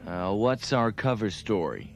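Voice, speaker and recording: A man's voice: fairly low pitch, big pitch swings, unhurried, slight background noise. A man playing a dry, composed, commanding starship captain in a puppet sci-fi series. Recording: music behind the dialogue.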